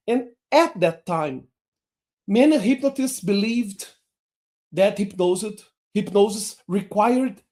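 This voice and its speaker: Slow, deliberate male teacher. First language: Portuguese. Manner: slow, deliberate delivery with lively pitch swings. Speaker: hypnosis teacher. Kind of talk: online lesson.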